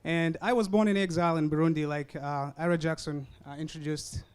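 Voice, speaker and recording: High-voiced young Rwandan man speaking. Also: fairly even intonation, brisk, clean tone. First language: not English. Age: young adult. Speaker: student speaker. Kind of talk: prepared public talk. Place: university event tent. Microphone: hand-held or stand mic.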